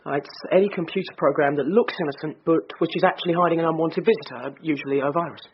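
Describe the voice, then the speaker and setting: An actor playing an informal, sceptical British police sidekick in a naturalistic radio drama. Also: male voice, on the high side, steady tone, quick pace, natural voice. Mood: matter-of-fact. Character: questioning, loyal male police sidekick to a woman boss. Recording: dull radio recording with faint noise.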